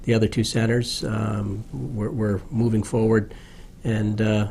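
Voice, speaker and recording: Male official, monotone, mellow tone, matter-of-fact, faint background hum. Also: fairly low pitch, a little slow. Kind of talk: interview answers.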